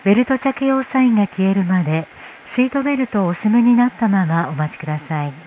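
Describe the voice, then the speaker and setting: A woman's voice: mid-range voice, animated, fast talker. A woman working as a flight attendant, making a formal inflight announcement over the cabin PA. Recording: tinny cabin PA with noise. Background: cabin noise.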